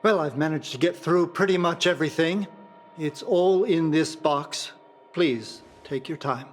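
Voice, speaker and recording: A man voicing a wistful, chatty young merchant in a video game, rambling and halting as he speaks. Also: a little high, slight background noise, natural voice. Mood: subdued, polite. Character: grieving young man, friendly, chatty merchant guild rival.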